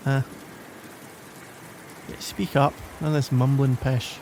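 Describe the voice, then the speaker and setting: Guy acting as a grumpy glasgow lad, sarky tsundere type, gravelly voice, asmr roleplay, bit of rainy hiss behind. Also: medium pitch, level tone, takes it slowly, darkish tone. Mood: mocking, sarcastic. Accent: Glaswegian Scottish. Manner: sarcastic, exasperated, teasing. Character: tsundere young Glaswegian man, gruff, sarcastic, grudgingly caring. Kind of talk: scripted ASMR roleplay monologue.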